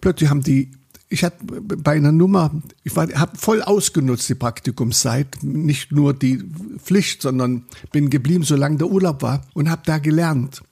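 Older guy, raspy gravelly voice, rambly interview storytelling, keeps restarting. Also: on the high side, normal speed, a little mellow. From Saxony, Germany. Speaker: interviewee, photographer. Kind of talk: recollecting in a conversational interview.

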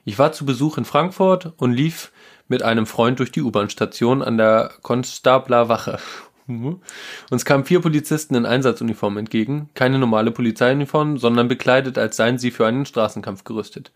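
A man's voice: mid-range voice, moderate pace. A man co-hosting a casual political podcast, speaking hesitantly with fillers before reading a listener's story aloud. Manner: hesitant, fills with ähm and genau, then reads aloud. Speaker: podcast co-host.

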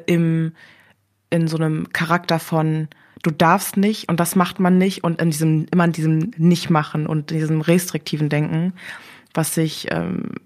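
Hesitant, soft-spoken woman, low voice. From Germany. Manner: hesitant, searching for words with many fillers. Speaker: interview guest. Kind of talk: reflective interview conversation.

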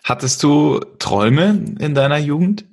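A man's voice: on the high side, expressive, unhurried, a little mellow. A man interviewing a guest with brief questions and short listening sounds.

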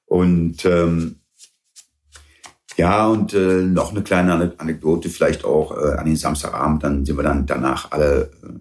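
A middle-aged man, English-born and raised in Germany, telling anecdotes in a relaxed interview, with many fillers and playful quoted voices. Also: extremely low pitch, unhurried. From England. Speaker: guest. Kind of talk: casual interview storytelling.

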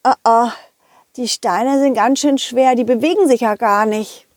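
A woman doing an excited, stammering little boy. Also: a little high, unhurried, dry recording, studio quality, voice pushed up, natural voice. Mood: eager, worried.

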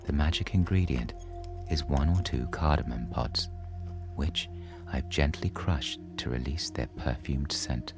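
Very deep, slow-voiced male bedtime narrator. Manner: soothing, hushed, unhurried storytelling. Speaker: sleep story narrator. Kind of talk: scripted bedtime story narration. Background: soft relaxation music.

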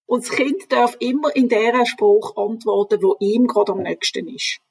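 Husky woman giving calm advice. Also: a little high, normal speed, no room sound. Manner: calm, explanatory, advising. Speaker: reading and language development expert. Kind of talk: advice in a conversational interview.

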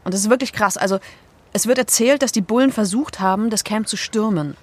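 Earnest young activist woman actress, mid-pitched. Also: brisk, natural voice. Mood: earnest, grave. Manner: earnest, explanatory. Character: level-headed young female activist, calming friend. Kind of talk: naturalistic German audio drama dialogue.

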